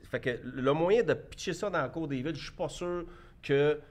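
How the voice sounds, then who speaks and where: A man's voice: a little high, normal speed, darkish tone. Blunt Québécois man in his fifties. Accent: Québécois French. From Quebec. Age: 50s. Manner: emphatic, restarts often, blunt opinions. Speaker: guest, entrepreneur. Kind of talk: debate interview.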